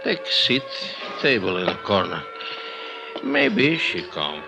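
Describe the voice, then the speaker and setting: A man's voice: sits low, huge pitch swings, takes it slowly, vocal fry, full character voice, low-quality recording. An actor playing a guarded, curt North African coffee house man speaking broken English in an old radio drama. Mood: suspicious. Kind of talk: 1950s radio drama acting. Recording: old radio recording with music.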